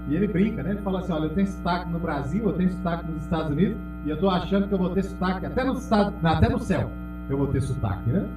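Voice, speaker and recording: A man's voice: expressive, racing. Brazilian male pastor, emphatic sermon delivery, distant mic in a very echoey hall, music underneath. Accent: Brazilian Portuguese. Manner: emphatic preaching, calls out to the congregation, drops low and loud on key words. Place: church hall. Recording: echoey, from far off, music behind.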